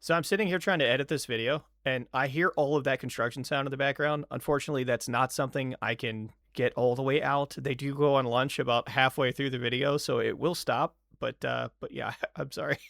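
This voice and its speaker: A man's voice: mid-range voice, steady tone, brisk, creaky, few pauses, fairly bright tone, no echo, clean studio sound. A young man talking candidly to camera in a casual vlog, self-deprecating and rambling, often restarting his sentences. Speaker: vlogger.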